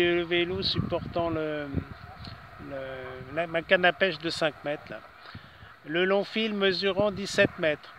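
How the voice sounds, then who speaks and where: Older guy doing a ham radio contact outside, highish bright voice, faint street noise behind. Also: rough recording. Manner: spells out call signs, conversational. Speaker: amateur radio operator. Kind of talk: ham radio contact, casual with call signs. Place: outdoors in a city park.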